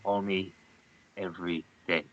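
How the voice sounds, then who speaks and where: Man playing a bright-edged, insistent journalist. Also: sits low, level tone, measured pace, lo-fi sound. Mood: grudging. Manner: insistent, clipped. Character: driven, indignant male journalist, suspicious and insistent. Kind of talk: read-through of a screen drama.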